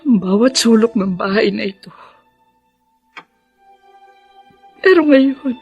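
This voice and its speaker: A woman's voice: high voice, a little mellow, slightly rough, muffled recording, full character voice. A woman playing a tearful, tender, ailing mother in a radio drama. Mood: sorrowful. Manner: tearful, tender, drawn-out delivery. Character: ailing, loving mother in pain, tearful, weary. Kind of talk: Filipino radio drama acting.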